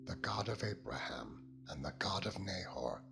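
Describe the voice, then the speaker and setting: A man's voice: low voice, lively intonation, normal speed, gravelly, a bit bright, a little hiss or hum, full character voice. A man voicing a possessive, solemn biblical father-in-law in emphatic, dramatized scripture oratory. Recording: music bed behind the voice.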